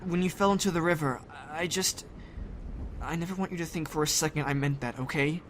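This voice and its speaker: Man playing a heartfelt youth, fairly high male voice, earnest, audio drama, audible noise. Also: a little fast, a bit bright, close to an everyday voice. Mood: apologetic, relieved. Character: emotional, sincere young son, blunt when challenged. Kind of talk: emotional audio drama acting.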